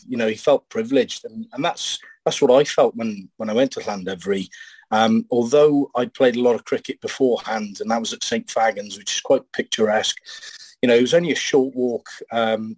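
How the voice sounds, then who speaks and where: A man's voice: on the low side, a little mellow, husky, dry recording, low-quality recording. A man reminiscing in an easygoing, fond way. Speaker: guest, former pupil. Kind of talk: reminiscing in a group video chat.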